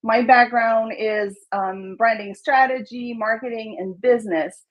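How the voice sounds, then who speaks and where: A woman's voice: fairly high pitch, fairly even intonation, measured pace. A Québécois-accented woman, eager and chatty. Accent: Québécois French. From Montreal, Canada. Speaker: real estate agent. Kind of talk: introducing herself on a video call. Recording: dull lo-fi audio.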